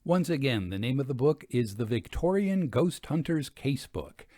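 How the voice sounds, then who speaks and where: A man's voice: medium pitch, big pitch swings, normal speed, mellow, no room sound. Guy hosting a storytelling podcast, mid voice that moves around a lot, careful wording, really dry sound. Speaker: host and reader of a ghost story podcast. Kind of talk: literary reading and informal scripted commentary.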